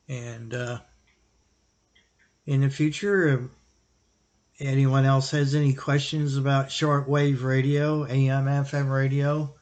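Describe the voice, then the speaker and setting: Man, medium pitch, casual explainer, plain-spoken, unscripted, halting pauses, hobby video host. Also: flat delivery, darkish tone. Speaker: radio hobbyist host answering viewer question. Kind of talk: casual explanatory product talk.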